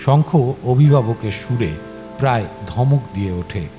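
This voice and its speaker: Expressive man narrating a suspense story over music. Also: mid-range voice, a little slow. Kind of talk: dramatic scripted story narration. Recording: music behind the voice. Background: clear background music.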